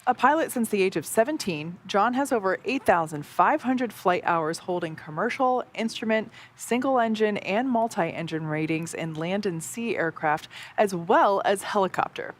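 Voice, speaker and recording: A woman's voice: mid-range voice, lively intonation, normal speed, few pauses. A woman co-hosting live mission coverage, friendly and explanatory with casual fillers. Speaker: broadcast co-host. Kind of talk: live broadcast commentary.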